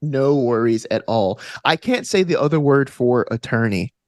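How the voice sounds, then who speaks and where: A man's voice: medium pitch, lively intonation, normal speed, no echo. Young Southern man chatting casually, dry close sound. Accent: Alabama Southern. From Alabama. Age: 20s. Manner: enthusiastic, informal slangy talk. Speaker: guest, actor and stage combat student. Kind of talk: casual interview chat.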